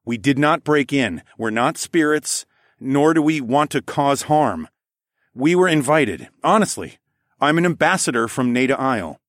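An earnest male hero, acted by an audiobook narrator, pitched above the reader's narrating voice. He is firm, stubborn and loyal, in a dry close recording. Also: lively intonation, a little slow, fairly bright tone, no echo. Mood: earnest protest. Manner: firm, insistent. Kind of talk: audiobook character voice.

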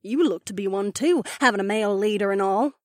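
A woman's voice: medium pitch, brisk, a little mellow, dry recording, studio quality, close to an everyday voice. A woman playing a severe, smirking female outlaw leader in an audiobook, with a cocky, mocking drawl. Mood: mocking, amused. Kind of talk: audiobook character dialogue.

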